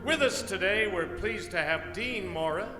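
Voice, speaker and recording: A man with a high voice presents a live radio play in a formal, scripted announcer style. The hall is very echoey, and music sits clearly behind his voice. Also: normal speed.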